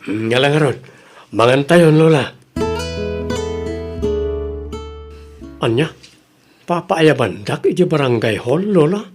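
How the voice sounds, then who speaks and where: Young male farmer acted by a man, Ilocano accent, fairly high voice, earnest, upright, radio drama. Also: expressive, moderate pace, darkish tone, soft background music, close to an everyday voice. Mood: calm, reassuring.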